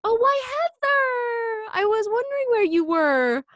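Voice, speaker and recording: Narrator lady doing a gushy storybook mom, raised pitch, swooping all over, breezy and determined. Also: very animated, moderate pace, dry recording. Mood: forced cheer. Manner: bright, coaxing, exclamatory. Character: gushing, enthusiastic artist mother, breezy and determined.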